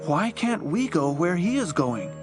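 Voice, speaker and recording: Man playing a high-voiced, baffled religious leader. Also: sing-song, a little slow, music in the background. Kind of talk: audio Bible drama acting.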